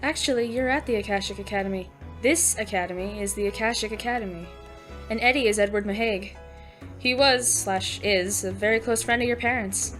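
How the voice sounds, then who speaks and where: A woman's voice: mid-range voice, fairly quick, fairly bright tone, soft background music, lightly acted. A woman playing a friendly, knowledgeable young cadet in an audio drama, explaining things sincerely.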